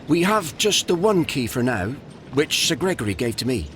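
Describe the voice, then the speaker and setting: A man playing an anxious, deferential English bathhouse attendant in a Victorian video game, speaking with nervous, halting politeness. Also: medium pitch, very animated, fairly bright tone, a little hiss or hum. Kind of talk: video game cutscene acting.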